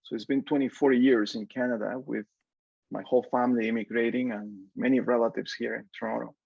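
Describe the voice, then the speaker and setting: A man's voice: mid-range voice, level tone, a little fast, gravelly, husky, no echo, narrow, tinny audio. A man in his forties from Peru with a Peruvian Spanish accent, speaking Spanish as his first language, giving an earnest, reflective panel talk with many fillers and restarts. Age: 40s.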